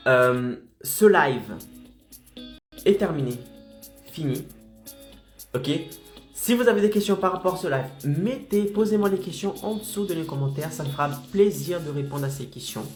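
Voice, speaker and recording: A man's voice: a little high, lively intonation, normal speed, reverberant, soft background music. A man with a slight head cold, coaching freelancers in a motivational live stream and keeping his audience engaged with frequent check-ins. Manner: insistent, keeps checking in with "d'accord?" and "ok?".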